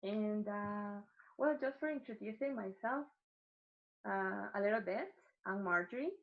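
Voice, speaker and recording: A woman from El Salvador with a Salvadoran Spanish accent gives a prepared talk as a guest speaker, haltingly searching for words. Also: mid-range voice. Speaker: guest speaker, coffee professional. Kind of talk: prepared talk at an online festival. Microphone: video call. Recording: dull lo-fi online call audio.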